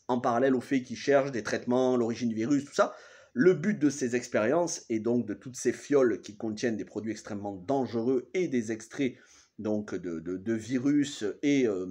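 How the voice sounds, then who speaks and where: A man's voice: mid-range voice, darkish tone. A man in his thirties with a cold, recapping a TV episode in a casual, hesitant way and often correcting himself mid-sentence. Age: 30s. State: has a cold. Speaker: TV series reviewer.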